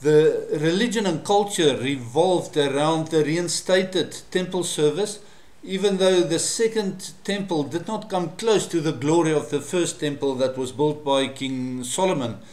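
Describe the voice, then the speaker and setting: A man's voice: fairly high pitch, darkish tone. Afrikaans-man teaching in an echoey room. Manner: measured and explanatory, with frequent fillers. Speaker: Bible study presenter. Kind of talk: solo teaching monologue to camera.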